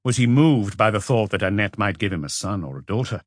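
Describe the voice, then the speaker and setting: A man's voice: lowish voice, animated, fairly quick, dry recording, studio quality. A man reading a mystery story as a scripted audiobook narrator.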